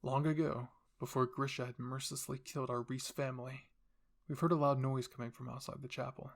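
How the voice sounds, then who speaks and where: A man's voice: mid-range voice, flat delivery, a little fast, gravelly, lightly acted. A man voicing a cold, scheming royal father who commands and explains without remorse in an anime fan fiction reading. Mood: recounting.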